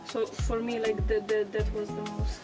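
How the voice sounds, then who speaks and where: A woman's voice: mid-range voice, monotone, moderate pace, loud music behind the voice. A woman from Serbia, a workshop participant, talking reflectively in a group circle and searching for words with many fillers.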